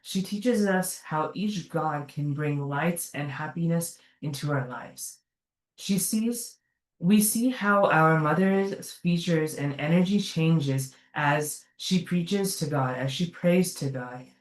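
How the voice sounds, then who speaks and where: A woman's voice: low-pitched, takes it slowly. A woman earnestly reading a prepared speech at a community gathering, correcting herself now and then. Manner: earnest, careful delivery with small self-corrections. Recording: echoey room, mic at a distance.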